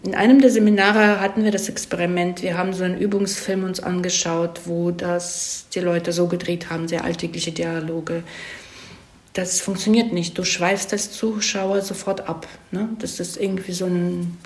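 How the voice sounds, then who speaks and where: A woman's voice: fairly low pitch, flat delivery, normal speed. A woman explaining things informally in an interview, often checking with little tag questions as she goes. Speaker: screenwriter being interviewed. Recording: roomy, echoing room sound.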